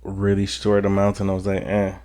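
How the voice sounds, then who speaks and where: A young man speaks in a low voice, hesitant and rambling as he chats. He talks casually, pausing to search for words. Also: monotone, mellow, clean tone. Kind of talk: casual chat.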